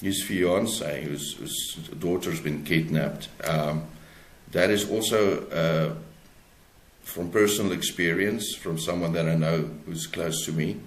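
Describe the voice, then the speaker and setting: A South African man speaking as an interviewed author, explaining his work haltingly and searching for words. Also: low-pitched. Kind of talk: conversational interview, explaining own book. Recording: roomy, echoey sound.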